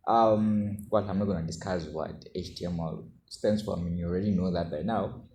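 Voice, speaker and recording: A low-voiced man with a soft, dark tone gives an informal tutorial. He talks haltingly, feeling his way through explanations and often tagging on a quick check for agreement. The room rings noticeably around him, with a faint layer of noise underneath. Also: fairly even intonation. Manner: halting, with frequent check-in tags. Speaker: coding tutor. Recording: roomy echo, faint background noise.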